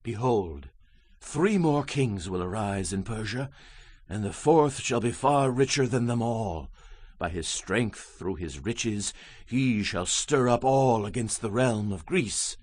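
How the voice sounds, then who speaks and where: Guy doing dramatized bible reading, solemn n declamatory, slightly rough husky voice, very animated. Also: lowish voice, takes it slowly. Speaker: Bible narrator.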